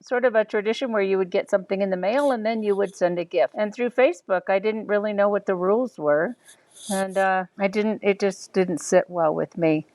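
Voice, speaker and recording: A woman's voice: medium pitch, fairly quick, darkish tone, dry recording. A middle-aged woman sharing thoughtful, hesitant reflections on friendship, with frequent ums. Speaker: listener commenting. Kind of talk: reflective personal commentary.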